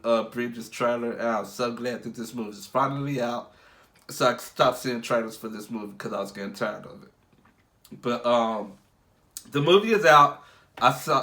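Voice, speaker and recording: A man's voice: mid-range voice, pushed and bright. A congested young man who is under the weather, rambling casually through a movie review with many fillers and restarts.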